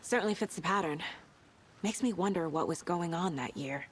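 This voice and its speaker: An actress playing a composed, inquisitive FBI agent in a video game, measured and pensive. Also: female voice, lowish voice, slight background noise, close to an everyday voice. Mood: probing, businesslike. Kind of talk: video game dialogue and brooding inner monologue.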